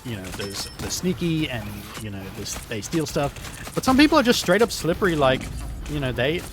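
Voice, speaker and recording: A hoarse man rambling through an absurd story over gameplay, full of fillers and restarts. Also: on the high side, normal speed, noisy background. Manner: rambling, filler-heavy, absurd deadpan tales. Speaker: gaming YouTuber.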